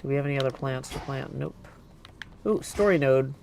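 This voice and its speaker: A man's voice: medium pitch, fairly quick, a little mellow. A man giving casual live commentary as he streams a video game. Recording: clear background noise.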